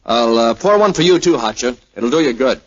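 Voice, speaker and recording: A man's voice: mid-range voice, expressive, fairly quick, breathy edge, dry recording. An actor playing a protective, kindly airman friend in a 1940s radio drama. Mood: gentle, coaxing. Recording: dull 1940s radio recording, faint music.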